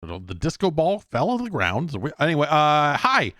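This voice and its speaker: A man's voice: fairly high pitch, wildly swooping pitch, moderate pace, fairly bright tone, no echo, studio quality. A man hosting a podcast in a casual, rambling, wry conversational way. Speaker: podcast host. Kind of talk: casual solo podcast talk.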